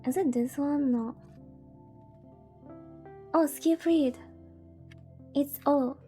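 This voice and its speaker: Female VTuber, high soft-toned voice, unhurried playful rambling as she plays a visual novel, game music faintly behind. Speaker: VTuber streamer. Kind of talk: casual chat while playing a visual novel.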